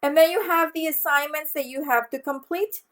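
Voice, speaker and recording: A woman's voice: high voice, normal speed, fairly bright tone. A woman from Mexico, a native Spanish speaker with a Mexican accent, giving an instructive online course orientation as a college teacher and often checking in as she goes. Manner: instructive, frequently checks understanding with "okay?".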